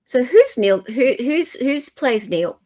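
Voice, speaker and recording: A woman's voice: high-pitched, very animated, takes it slowly, dark tone. A woman with an Australian accent hosting a live show in a casual, chatty and playful way. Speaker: host of a live commentary show. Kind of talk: casual live chat commentary.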